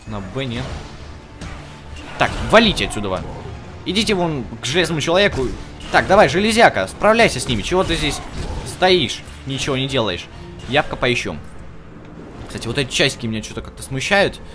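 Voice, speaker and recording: A man's voice: mid-range voice, very animated, brisk, long pauses. A man hosting a gaming video with casual let's play commentary, thinking aloud and talking to the characters on screen. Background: music behind the voice.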